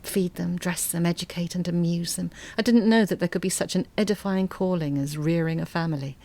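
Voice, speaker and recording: A woman playing an articulate, bitter middle-aged mother in an audio drama monologue, speaking in a measured, intimate way. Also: fairly low pitch, fairly even intonation, mellow, lightly acted. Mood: wistful, contented. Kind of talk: dramatic monologue, letter read as confession.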